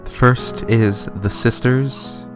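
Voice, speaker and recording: A man's voice: mid-range voice, fairly even intonation, slow. A man co-hosting a let's play, chatting casually and reading a book aloud dramatically in a jokey way. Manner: jokey, easily amused. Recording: music behind the voice.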